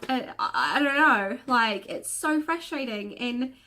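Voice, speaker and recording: A woman's voice: on the high side, lively intonation, measured pace, sharp tone. A woman vlogger talking in a casual, rambling way with many fillers and restarts, her nose a little stuffed from a cold so she sounds slightly nasal. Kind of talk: casual chatty vlog rant.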